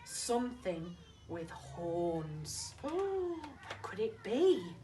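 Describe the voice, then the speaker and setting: A woman's voice: medium pitch, big pitch swings, extremely slow, warm tone, recorded from a distance, a little hiss or hum. A woman reading a children's story expressively, dramatic and addressing young listeners directly. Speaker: primary school teacher reading to children.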